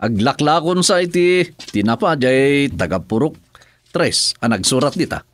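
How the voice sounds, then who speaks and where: A man with an Ilocano Filipino accent playing a cheeky village watchman in a radio comedy, teasing in a drawn-out sing-song. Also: mid-range voice, expressive, dry recording, close to an everyday voice. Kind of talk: radio drama comedy acting.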